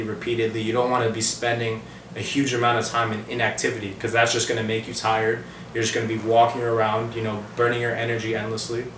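A man's voice: mid-range voice, slight background noise. A young man in his twenties giving casual, rambling dating advice to camera from his living-room couch. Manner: relaxed, rambling, many fillers. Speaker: dating coach vlogger. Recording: roomy echo from far off the mic.

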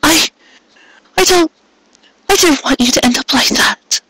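High young female pony acted out by a storyteller, devoted, distressed, halting, bright, audiobook drama. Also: animated, no room sound, exaggerated voice, poor audio. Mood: anguished. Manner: stammering, halting, pleading. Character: distressed, devoted young mare baker, pleading, sniffling.

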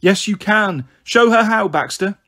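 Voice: medium pitch, lively intonation, unhurried, bright, forceful tone, voice pushed up, heavily acted. A reader voicing an anxious, bossy young girl minding her siblings in a storybook, urgent and coaxing.

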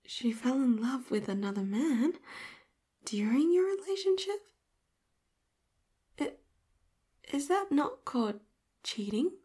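A devoted young robot girl with a possessive streak, played by a woman in an audio roleplay. She murmurs in a breathy, slightly high half-whisper. She takes her time, lingering over each word as she dotes on her Master. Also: a little mellow. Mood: indignant. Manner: doting, speaks to listener as Master. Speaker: voice actor.

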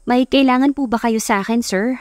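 A woman's voice: on the high side, a little fast, darkish tone, no room sound, natural voice. Woman voicing a flustered, polite young Filipina. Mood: nervous. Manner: polite, hesitant.

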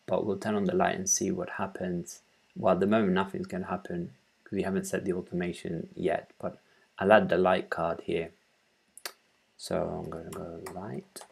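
Low, gravelly man, flat delivery. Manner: halting, thinking aloud while working. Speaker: tutorial presenter. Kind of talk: informal screencast tutorial, explaining step by step. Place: small room at home, indoors.

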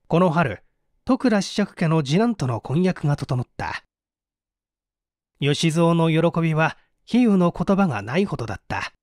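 A man's voice: fairly high pitch, darkish tone, no echo, studio quality. A man reading a mystery story aloud as dramatic scripted audiobook narration. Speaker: audiobook narrator, stage actor.

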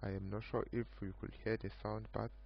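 A man giving an unscripted screen-recorded software demo of his own project, speaking haltingly in short broken phrases. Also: on the low side, little pitch movement, creaky, over music. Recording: muffled lo-fi mic with background hum.

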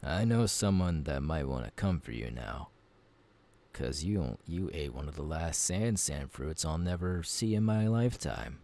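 A man voicing a gruff, easygoing pirate captain in an ASMR roleplay, gravelly and unhurried, talking intimately to the listener. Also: extremely low pitch, animated, warm tone, heavily acted. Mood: relaxed, welcoming. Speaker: voice actor.

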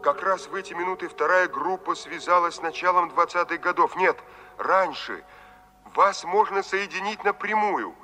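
An actor playing an attentive, businesslike male operator from the future in a Soviet radio play, brisk and official. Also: sits high, pushed and bright. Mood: earnest, persuasive.

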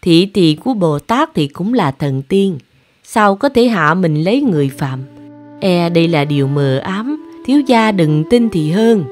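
A woman's voice: fairly low pitch, lively intonation, unhurried, a little mellow, faint music behind. Woman as wary, cautioning old servant. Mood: doubtful.

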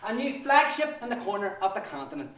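A man in his twenties with a Northern Irish accent, playing an obsessive, menacing young republican militant in a dramatic monologue, lurching between hushed whispers and profane outbursts. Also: sits high, sing-song, brisk. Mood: ranting. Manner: swings between hushed self-urging whispers and swearing tirades. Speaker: monologue performer. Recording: echoey room, voice from a distance.

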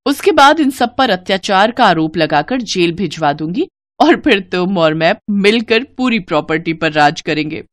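Woman doing a greedy scheming young bahu in a cartoon, bossy n taunting, very lively pitch. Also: normal speed, natural voice.

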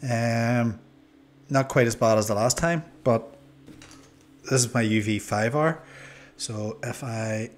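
A man in his forties with a Northern Irish accent giving a casual, low-key, hesitant product review into a desk microphone. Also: slow, long pauses. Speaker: review channel host. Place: small indoor room. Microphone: desk microphone on a boom arm.

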